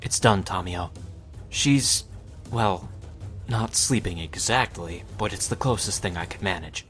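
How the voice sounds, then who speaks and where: A man playing a young mind mage in a fantasy audio drama, halting and hushed, urgent and persuasive. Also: deep voice, faint music behind. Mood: strained, focused.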